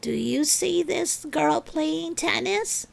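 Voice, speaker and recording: A woman voicing a calm, kindly older woman neighbour, gentle, soothing and encouraging, in a children's storybook. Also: extremely high pitch, slow pace, creaky, voice pushed up, heavily acted. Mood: amused. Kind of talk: children's storybook read-aloud.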